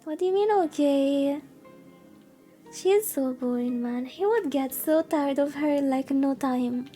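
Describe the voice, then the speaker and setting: A woman with a high-pitched, gently dark and relaxed voice reads a visual novel on a livestream. She is informal, mixing narration with playfully exasperated asides, over faint background music. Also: a little slow. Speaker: streamer reading a visual novel aloud. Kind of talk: casual livestream reading with commentary.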